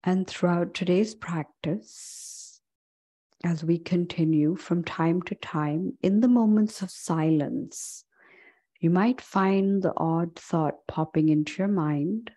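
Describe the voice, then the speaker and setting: A woman yoga instructor leading a guided meditation in a calm, gentle, unhurried way with long pauses. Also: on the low side.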